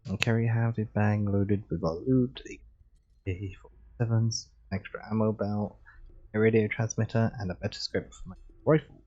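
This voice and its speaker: A man reads a visual novel aloud on a livestream in a relaxed way. His voice is mellow and husky, and the microphone sound is slightly muffled. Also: fairly low pitch, moderate pace. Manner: relaxed, chilled. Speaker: game streamer.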